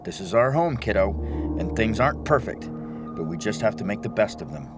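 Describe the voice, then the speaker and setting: A man's voice: fairly low pitch, big pitch swings, brisk. A man voicing a weary, patient but stern working-class father in earnest audiobook dialogue. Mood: defensive, firm. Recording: music bed behind the voice.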